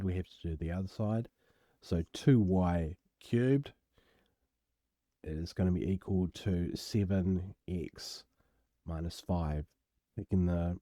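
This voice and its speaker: A man with a croaky voice, casually working through an algebra exam step by step as a tutor, recorded at home. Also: low voice, dark tone, no echo, clean studio sound. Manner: casual, self-deprecating, explains step by step. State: croaky voice from illness. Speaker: maths tutor. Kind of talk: worked exam walkthrough.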